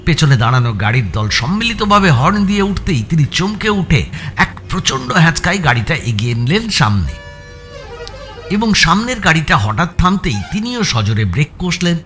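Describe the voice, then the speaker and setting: A man with a West Bengal Bengali accent narrates a first-person suspense audiobook in an expressive, measured storytelling manner with deliberate pauses. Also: fairly high pitch. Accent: Bengali from West Bengal, India. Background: music.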